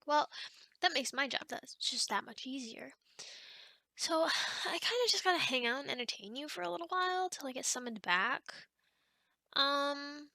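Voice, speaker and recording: An awkward young female sleep demon, acted by a woman, with a high, bright voice in a very dry, close recording. She rambles apologetically in a hesitant, chatty way, slipping toward whispers as in an ASMR roleplay. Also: no room sound, exaggerated voice. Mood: friendly, casual.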